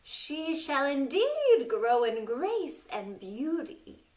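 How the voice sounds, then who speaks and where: A woman voicing a vengeful, sneering evil witch from a storybook, proclaiming menacingly in drawn-out phrases. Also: high voice, sing-song, darkish tone, pitched above the performer's own voice, exaggerated voice. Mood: vengeful menace. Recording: distant microphone.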